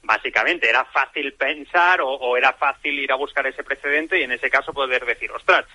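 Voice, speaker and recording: A man's voice: medium pitch, a little fast, pushed and bright, dry recording. A man arguing a point on a sports radio panel, reasoned and direct. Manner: argumentative, reasoned.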